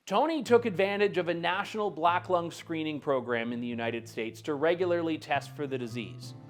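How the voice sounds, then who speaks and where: A man's voice: a little high, normal speed, soft background music. A man narrating a documentary in a scripted, pointed, accusatory delivery.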